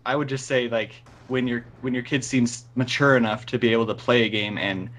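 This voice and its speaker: A nineteen-year-old man chatting casually as a guest on a gaming show, halting as he searches for words. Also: mid-range voice, fairly even intonation, fairly bright tone. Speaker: guest on a gaming video series. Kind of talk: casual chat over a call.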